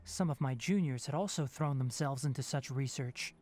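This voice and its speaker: An actor playing an earnest young male forest ranger and scholar in a video game, speaking in a formal, measured, articulate way with gentle sternness. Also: mid-range voice, natural voice. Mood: serious, explaining. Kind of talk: scripted video game voice acting.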